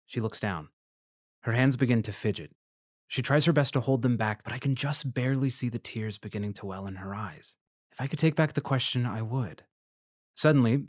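Guy acting as earnest reporter in an audio drama, careful and tactful, measured delivery, mid pitch, dry studio-like sound. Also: dry recording, close to an everyday voice. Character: earnest, tactful male freelance journalist narrating his investigation. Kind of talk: fiction podcast acting, scripted first-person narration.

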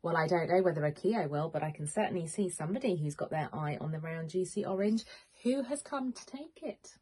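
A woman, a teacher reading a picture book to young children in an expressive, questioning manner. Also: fairly low pitch, a little fast. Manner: expressive, questioning, clearly addressing small children. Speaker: primary school teacher. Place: small home room with bookshelves, indoors.

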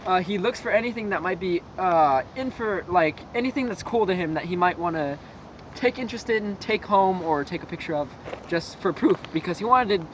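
Rambling young man, high voice. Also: expressive, normal speed, fairly bright tone, dull audio. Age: young adult. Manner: rambling, lots of fillers. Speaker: co-host. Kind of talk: casual storytelling. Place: dark room at night. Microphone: camera a few feet away. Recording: noisy camera audio.

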